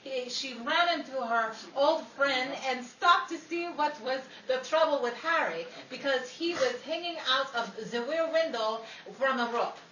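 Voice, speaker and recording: A woman's voice: high-pitched, a little slow, hardly any pauses, sharp tone. A woman playing a chatty, punning character in a 1950s-style radio comedy. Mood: playful. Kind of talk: old-time radio comedy acting. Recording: echoey room, distant mic, faint noise.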